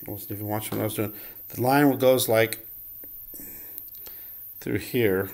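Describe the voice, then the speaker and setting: A man's voice: fairly low pitch, steady tone, unhurried, gravelly. A man vlogging in a casual, unscripted way, commenting as he goes in a hesitant, rambling manner and often correcting himself.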